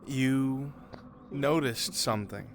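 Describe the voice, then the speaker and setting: A man's voice: medium pitch, takes it slowly, slight background noise. A man narrating events as game master in a roleplay session.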